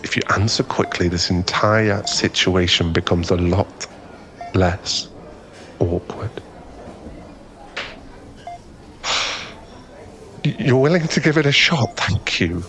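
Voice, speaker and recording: A man playing a flustered, smitten young man in an ASMR boyfriend roleplay, halting and stammering as he rambles nervously to a crush. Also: on the low side, a bit bright, slight background noise. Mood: awkward, hopeful.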